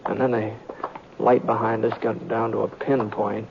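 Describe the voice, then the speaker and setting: An actor playing a calm, laconic cowboy hero, narrating an old radio Western. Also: male voice, lowish voice, gravelly. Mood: reflective. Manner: laconic, unhurried storytelling. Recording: noisy, dull old radio recording.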